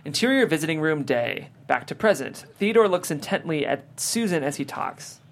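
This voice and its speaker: A man's voice: a little high, sing-song, moderate pace, gravelly. A man hosting a script read and narrating stage directions.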